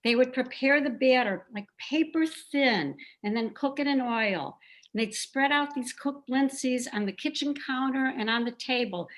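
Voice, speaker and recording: A woman with a fairly high voice tells a personal story from her family life. Her delivery is conversational and confiding, like a prepared piece read to a group. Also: moderate pace. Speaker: participant sharing a family memory.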